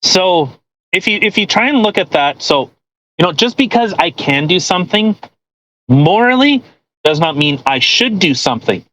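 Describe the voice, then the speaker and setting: A man co-hosting a podcast, giving casual commentary in halting, rambling explanations peppered with "you know". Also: high voice, very animated, a bit bright, low-quality recording. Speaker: podcast co-host.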